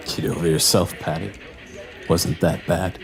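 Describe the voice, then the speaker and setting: A man playing a crude, callous, hot-tempered barfly in an audio drama, dismissive and quick to swear. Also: deep voice, fairly even intonation, gravelly, faint music behind, exaggerated voice.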